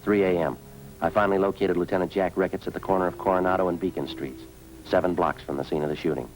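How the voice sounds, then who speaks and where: An actor with an American accent playing a stoic, dogged police detective, terse and deadpan, in the style of fifties radio drama. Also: male voice, low-pitched, quick pace, lightly acted, rough recording. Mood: grim, matter-of-fact. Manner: terse, deadpan, matter-of-fact. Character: stoic, dogged male police detective, quietly worried for partner. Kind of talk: 1950s radio police drama, clipped dialogue and scripted narration.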